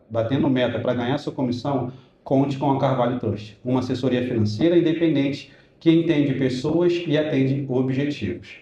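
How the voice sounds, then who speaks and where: A man hosts a podcast, reading sponsor messages in an announcer-like way before turning to friendly interview questions. His voice is mellow and dark, and the room around him echoes. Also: mid-range voice. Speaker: podcast host.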